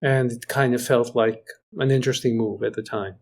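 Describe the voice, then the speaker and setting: A man from Greece speaking as an interview guest, recollecting conversationally and often pausing to find his words. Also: medium pitch, fairly even intonation, creaky, a little hiss or hum. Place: remote internet video call.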